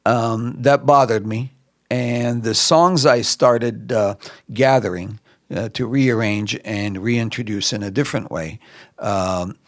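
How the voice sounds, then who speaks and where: A man's voice: lowish voice, no echo, clean studio sound. A middle-aged man from Lebanon, a musician guest on a podcast, answering in a reflective way with many fillers and restarts. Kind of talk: relaxed interview answers. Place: podcast studio.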